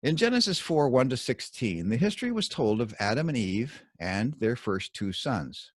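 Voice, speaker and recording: A man reading his own scripted scripture commentary in a measured, emphatic teaching manner. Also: medium pitch, big pitch swings, no room sound, clean studio sound.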